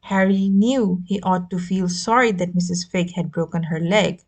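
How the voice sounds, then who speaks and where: A woman reading a children's novel aloud as an expressive narrator. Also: lowish voice, moderate pace. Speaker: audiobook reader. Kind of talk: expressive scripted story narration.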